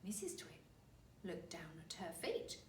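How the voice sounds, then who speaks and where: A woman reading a children's story aloud like a teacher, with dramatic, deliberate emphasis. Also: fairly low pitch, very animated, in a whisper. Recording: roomy, distant mic, faint noise.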